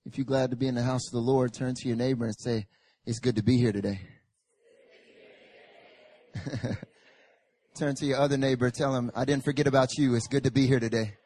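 Raspy-voiced preacher man, casual then fervent. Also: medium pitch, fairly even intonation, a little fast, long pauses. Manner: casual and joking, rising to loud fervent Scripture reading and prayer. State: hoarse, recovering from a chest infection. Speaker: pastor. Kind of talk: sermon. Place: church service.

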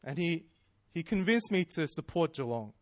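A man's voice: on the high side, big pitch swings, normal speed, no room sound, clean studio sound. A man, preaching in a chatty, self-deprecating storytelling way that often breaks into laughs. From Australia. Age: 30s. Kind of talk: personal storytelling sermon.

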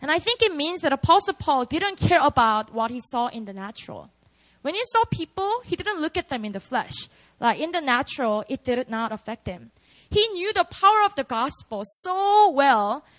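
A woman's voice: high-pitched, big pitch swings, moderate pace, fairly bright tone, poor audio. A young woman with a Korean accent preaching at a prayer meeting, candid and chatty, often laughing at herself.

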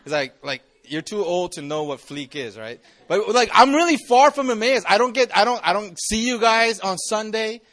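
A man's voice: high voice, expressive, normal speed, hardly any pauses, a bit bright. A man in his forties, a campus pastor, giving a casual, joking youth sermon to a live audience. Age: 40s. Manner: chatty, jokey, restarts often.

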